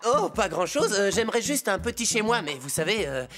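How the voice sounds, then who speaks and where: A man's voice: sits high, sing-song, a little fast. A man playing a young, easygoing guitarist in a children's cartoon, casual and a little hesitant. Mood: bashful, casual. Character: young, easygoing, lovestruck male guitarist with magic music. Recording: music behind the voice.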